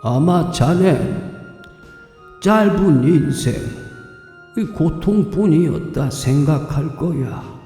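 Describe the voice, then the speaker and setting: Slow, echoing otherworldly old man played by a man. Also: fairly high pitch, lively intonation, warm tone. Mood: calm, solemn. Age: older. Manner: slow, measured, philosophical. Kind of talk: audio drama acting. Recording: long cavernous reverb, music behind.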